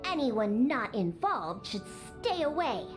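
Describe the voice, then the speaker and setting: A woman's voice: a little high, big pitch swings, slow pace, music in the background. A woman voicing a grumpy, bossy young cat-girl bartender in an anime game, curt and indignant. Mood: indignant, scornful.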